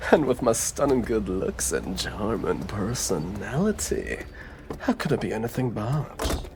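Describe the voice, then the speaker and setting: A man's voice: lowish voice, very animated, unhurried, background noise. An actor playing a cocky, teasing male detective in an audio roleplay drama, with sardonic, theatrical banter. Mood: cocky, playful. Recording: music behind the voice.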